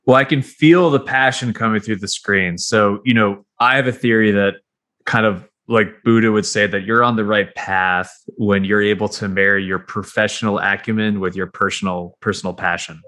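Bright-voiced upbeat male host. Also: fairly low pitch, steady tone, moderate pace. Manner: upbeat, folksy, wraps things up with praise. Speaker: podcast host. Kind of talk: casual chat.

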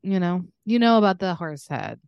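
A woman's voice: fairly low pitch, very animated, moderate pace, creaky, clear, resonant tone, no room sound, muffled recording. A woman co-hosting a podcast in casual, conversational pop-culture chat, with a giggly way of talking. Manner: giggly, laughs often while talking; conversational, frequent "like" and "you know". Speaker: podcast co-host.

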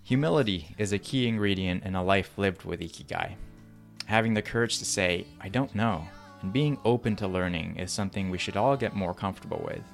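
A man hosting a podcast, reading a measured intro and then asking questions with occasional ums. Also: sits low, creaky, faint music behind. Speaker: podcast host.

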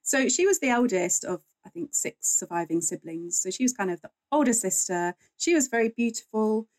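A woman's voice: medium pitch, darkish tone, no room sound, rough recording. A woman speaking as a guest historian in a conversational interview, explaining things in a chatty, informal way.

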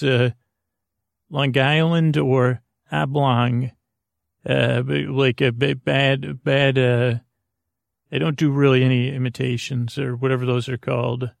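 Man, gravelly creak, soothing and dull delivery, halting, meandering bedtime monologue, dry recording. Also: medium pitch, level tone, no room sound. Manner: meandering, halting, stammering, trails into tangents; deliberately dull, soothing, lulling. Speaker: host of a bedtime-story podcast. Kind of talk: rambling sleep podcast monologue.

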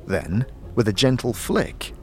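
A man's voice: medium pitch, animated, slow, darkish tone. A man reading scripted fantasy narration dramatically, as the storyteller of an audio drama.

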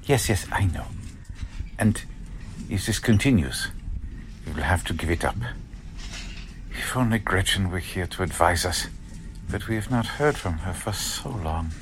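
Guy acting anxious but steady shopkeeper papa, low voice, radio play, bit of noise. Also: a little slow, pauses often. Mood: reassuring, grave. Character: anxious, steady German immigrant husband and shopkeeper.

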